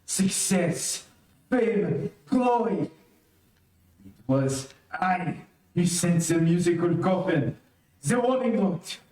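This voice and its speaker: An actor playing a bitter, envious dying villain in an old-time radio melodrama. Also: male voice, high voice, lively intonation, drawn-out words, pauses often, a little mellow, heavily acted. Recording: very echoey room, distant mic.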